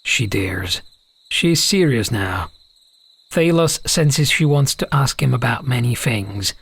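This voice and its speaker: A man's voice: medium pitch, lively intonation, slow pace, gravelly, fairly bright tone, slightly rough. A man reading a scripted fantasy story as an audiobook narrator.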